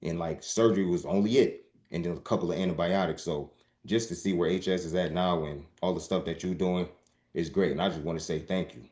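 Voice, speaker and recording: Deep voiced dude, super casual, says like a lot, echoey room. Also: male voice, fairly even intonation, brisk, darkish tone. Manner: casual, heavy with filler "like". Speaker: podcast co-host. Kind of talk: casual conversation. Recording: roomy echo.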